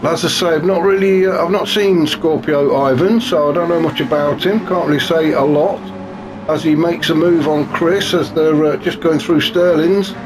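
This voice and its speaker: A man commentating a sim race in a chatty, wry way, his voice a bit gravelly and snuffly from a cold. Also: fairly high pitch, normal speed. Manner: chatty and wry, many fillers. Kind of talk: live sim racing commentary. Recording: noisy with car engine sounds behind.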